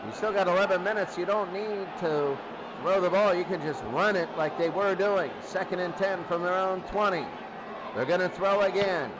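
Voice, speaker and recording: Male sports announcer, high register. Terse live football calls over clear noise. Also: moderate pace. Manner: terse calls, repeating down and yardage. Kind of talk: live American football commentary. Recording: clearly noisy recording.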